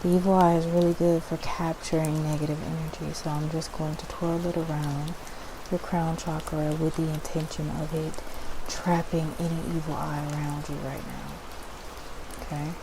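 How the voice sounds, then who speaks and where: A woman's voice: low voice, flat delivery, moderate pace, background noise. A woman hosting ASMR as a spiritual cleansing guide, speaking in a soothing, instructive way and often checking in with the listener. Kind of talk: ASMR personal-attention roleplay.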